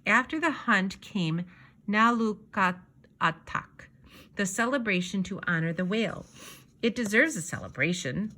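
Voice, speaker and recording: A woman reading a children's story aloud, narrating expressively in deliberate, measured phrases. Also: medium pitch, expressive, pushed and bright. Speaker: storybook reader.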